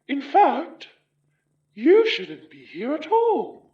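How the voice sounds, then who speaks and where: A woman's voice: high voice, huge pitch swings, very slow, recorded from a distance, exaggerated voice. A woman playing an arrogant, mocking aristocratic spirit with a British accent in a horror audio drama, pompous and taunting. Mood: bitter musing. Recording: dull, roomy, off the mic.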